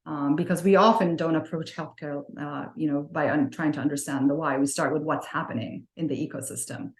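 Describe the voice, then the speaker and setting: A woman's voice: deep voice, fairly even intonation, a little fast. A middle-aged woman answering interview questions in a thoughtful way, with many fillers and restarts. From Libya. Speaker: podcast guest. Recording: roomy echo.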